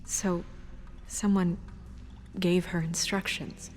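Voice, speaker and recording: An actress playing a serious, worried young official in a video game, speaking in an earnest, guarded, businesslike way. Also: female voice, on the low side, little pitch movement, slow pace, darkish tone, a little hiss or hum.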